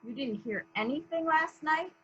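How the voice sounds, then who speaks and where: A woman's voice: high-pitched, normal speed, a bit bright, dull audio. A woman playing a polite, probing police inspector in a murder mystery reading, asking formal, clipped questions. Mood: courteous, brisk.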